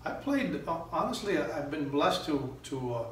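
A man's voice: on the high side, big pitch swings, normal speed. A middle-aged man from Miami answering interview questions conversationally as an actor, often restarting his phrases. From Miami, United States. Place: small indoor room. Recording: echoey room, distant mic, faint noise.

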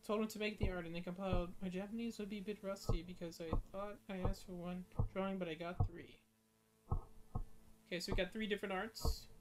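A woman's voice: medium pitch, fairly even intonation, brisk, background noise. A young woman streamer giving casual reaction commentary and reading captions aloud.

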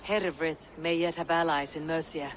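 A man's voice: fairly high pitch, moderate pace, noisy background, lightly acted. A man playing a blunt, wry Viking warrior chieftain in a video game cutscene, speaking steadily and with confidence. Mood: firm. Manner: steady, declarative, dryly wry.

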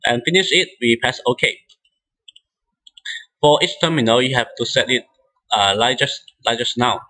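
Give this to a man with a bright, forward voice and a middling pitch. He is a non-native English speaker walking through software step by step. His phrasing is halting, and he often restarts. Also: rough recording. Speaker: instructor demonstrating software.

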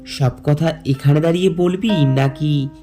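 Slow, creaky male storyteller. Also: fairly high pitch, over music. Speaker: narrator and lead character. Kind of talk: scripted first-person story narration.

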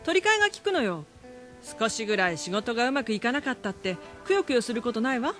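Quick, bright, chirpy bar hostess. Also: female voice, on the high side, sing-song, soft background music. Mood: breezy, cheering. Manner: chirpy, encouraging, flippant. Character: breezy, cheering bar hostess. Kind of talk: Japanese radio drama acting.

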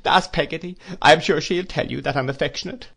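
A man's voice: mid-range voice, brisk, gravelly. A man voicing a timid, tearful young wife who pleads plaintively and falters, in a classic novel reading. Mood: pleading, distressed. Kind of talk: classic novel read aloud.

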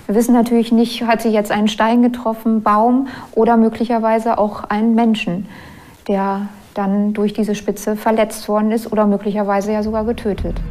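A woman's voice: mid-range voice, flat delivery, moderate pace, darkish tone. A woman explaining as an interviewed expert, in unscripted speech. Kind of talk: explaining a find.

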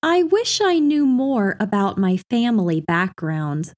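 A woman reading everyday practice phrases for an English lesson in a clear, carefully enunciated scripted delivery. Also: mid-range voice, animated, a little slow, a little mellow.

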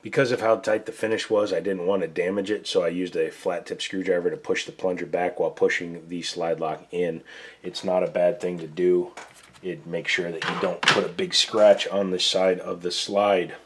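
Hoarse low-voiced man explaining step by step. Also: little pitch movement, normal speed, noisy background. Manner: plain-spoken, step-by-step instructions. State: losing his voice, hoarse. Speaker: gun reviewer, show host. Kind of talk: casual tabletop demo. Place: indoor workbench.

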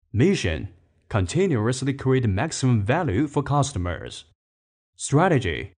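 Male corporate narrator with lively intonation. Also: mid-range voice. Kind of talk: scripted promotional narration.

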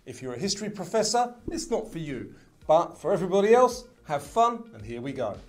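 A man's voice: on the high side, big pitch swings, a little fast. A middle-aged man reading scripted quiz narration as the presenter. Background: background music.

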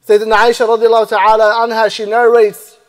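A man in his thirties delivering a Friday sermon as imam in a mosque, speaking in a measured preaching manner into a stand microphone, with a long hall reverb on his voice. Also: high-pitched, monotone. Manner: measured preaching, recites Arabic verses rapidly then translates slowly. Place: mosque prayer hall, indoors. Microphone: stand mic and lapel mic.

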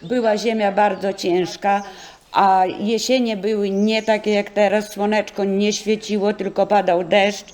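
A woman over sixty from rural Poland, reminiscing in a measured, plain-spoken, reflective way. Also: mid-range voice, flat delivery, darkish tone. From rural Podlasie, Poland. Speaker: senior sharing memories.

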